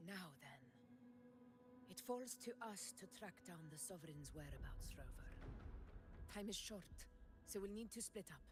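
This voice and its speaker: Proud, commanding warrior leader. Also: female voice, on the low side, expressive, unhurried, long pauses, music in the background. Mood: brisk, commanding. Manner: stately, declamatory. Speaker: voice actor in a video game. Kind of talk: dramatic video game acting.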